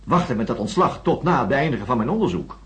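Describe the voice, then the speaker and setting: An earnest, troubled investigating doctor in a radio play. He speaks formally and deliberately with lively, wide-moving intonation, over some music. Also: mid-range voice, slightly rough, exaggerated voice. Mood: dismayed. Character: earnest, troubled male doctor investigating astronauts, indignant when challenged. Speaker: radio drama actor and narrator. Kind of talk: radio play acting with first-person narration.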